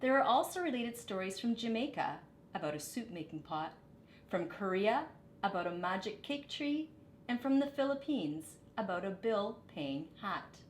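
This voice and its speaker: A woman reading a children's story aloud with expressive, dramatic phrasing. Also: normal speed. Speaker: storybook reader. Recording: echoey room, faint low rumble.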